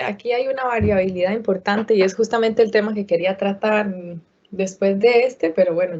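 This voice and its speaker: A woman answers audience questions in an online talk, stopping often to restart a phrase or fill a pause. Her voice is mellow and dark. The sound comes through a dull, lo-fi video call. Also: medium pitch. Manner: hesitant, many fillers and restarts. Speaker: guest expert speaker.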